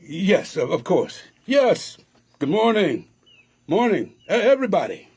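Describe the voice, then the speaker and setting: A man acting a pompous, genial Jamaican Supreme Court judge in a radio drama, formal and halting in his delivery. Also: high-pitched, wildly swooping pitch, darkish tone, breathy edge, heavily acted. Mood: genial, satisfied.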